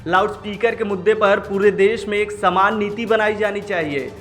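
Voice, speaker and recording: A man's voice: high-pitched, normal speed, fairly bright tone. A man reading scripted news narration as a news presenter. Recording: music behind the voice. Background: background music.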